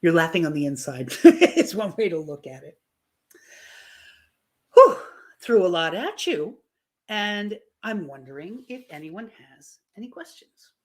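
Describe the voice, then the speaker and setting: A woman's voice: fairly low pitch, very animated, unhurried. A middle-aged woman astrologer, losing her voice, explaining planetary cycles in a live online talk, often restarting her phrases. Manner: explanatory, with frequent restarts and fillers. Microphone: video call.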